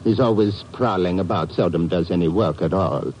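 An actor in a 1950s radio drama playing a suave, suspicious Indian police inspector, formal and dryly ironic. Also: male voice, deep voice, moderate pace, a little mellow, dull audio, lightly acted. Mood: dry, measured. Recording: faintly noisy old radio recording.

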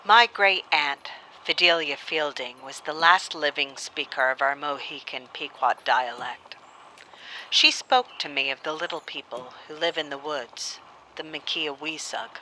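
A solemn woman storyteller speaks for a radio drama with proud, deliberate weight. Her voice is bright and firm, with a faint noise of an old cylinder recording. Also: low voice, low-quality recording. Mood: reflective.

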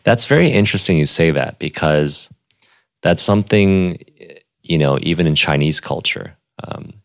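A man who hosts a relaxed interview, affirming often and asking open questions. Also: sits low, normal speed, dry recording, clean studio sound. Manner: relaxed, affirming, with frequent backchannels. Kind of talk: casual conversational interview.

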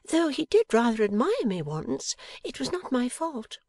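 Woman acting pouting young wife, much higher and sweeter. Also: wildly swooping pitch, unhurried, darkish tone, dry recording, exaggerated voice. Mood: pouting surprise. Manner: coaxing, pouting, emphatic. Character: pouting, affectionate young wife, playful and coaxing. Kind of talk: dramatised audiobook character.

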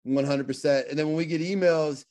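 A man's voice: a little high, steady tone, fairly quick, darkish tone. Earnest man with a Pittsburgh accent. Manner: earnest, repeats words and restarts often. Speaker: guest, motivational speaker. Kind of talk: casual interview talk.

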